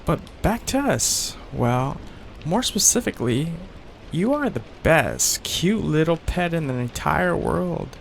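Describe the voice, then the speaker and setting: Creaky-voiced, doting young mafia heir played by a man. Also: fairly high pitch, sing-song, takes it slowly, slight background noise, exaggerated voice. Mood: playful, doting. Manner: tender, coaxing, hesitant, asks for reassurance. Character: soft-hearted young male mafia heir, doting, possessive, hesitant. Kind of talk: intimate ASMR boyfriend roleplay.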